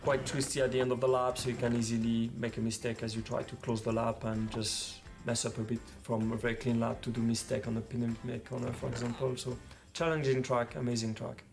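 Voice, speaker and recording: A man answering interview questions in a measured way, with some restarts. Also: mid-range voice, flat delivery, vocal fry, darkish tone, faint music behind.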